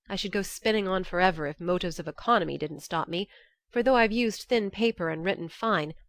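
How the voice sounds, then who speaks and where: Low-pitched woman narrating a classic novel. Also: dry recording. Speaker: audiobook narrator. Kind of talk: scripted narration of a classic novel as lively first-person letters.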